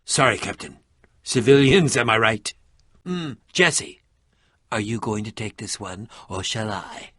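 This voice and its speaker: A man's voice: fairly low pitch, a little slow, bright, forceful tone, husky, dry recording, clean studio sound, full character voice. A man voicing a pompous, irritable, middle-aged eccentric adventurer and potion maker in a video game, with a dramatic, sardonic, fussy delivery. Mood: dry, sardonic. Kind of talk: animated video game voice acting.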